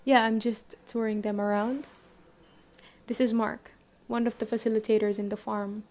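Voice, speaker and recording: Dark-toned woman acting a stern survivor camp leader. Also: a little high, flat delivery, lightly acted. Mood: casual, friendly. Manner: brisk, matter-of-fact, addressing the listener directly. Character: stern but caring young woman leading an apocalypse survivor camp. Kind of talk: ASMR roleplay acting.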